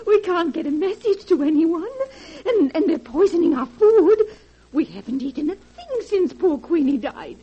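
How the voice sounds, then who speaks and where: An actress playing a timid, frightened old aunt in 1940s radio style, plaintive and quavering. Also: female voice, extremely high pitch, expressive, normal speed. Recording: muffled vintage 1940s radio recording.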